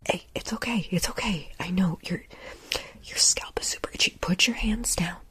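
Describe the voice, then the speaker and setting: Brief: woman, low whispery voice, unhurried ASMR delivery, faint wind in the background. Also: breathy edge, no echo. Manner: soft slow whisper, soothing and repetitive. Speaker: ASMR creator.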